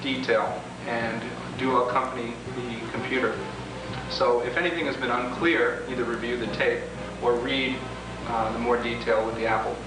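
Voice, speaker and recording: A man's voice: mid-range voice, steady tone, moderate pace, music in the background. Echoey, distant man giving step-by-step instructions. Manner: methodical, formal wording, step by step. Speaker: product demonstrator and instructor. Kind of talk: instructional training-tape walkthrough. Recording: echoey, noisy, distant 1980s homemade video.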